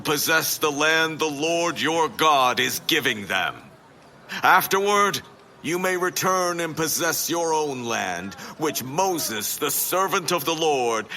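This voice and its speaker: Israelite commander in a bible drama, really animated, declaiming slowly, authoritative. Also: male voice, on the high side, slight background noise, full character voice. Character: Joshua, forceful commanding leader of Israelites. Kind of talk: dramatised scripture audio drama.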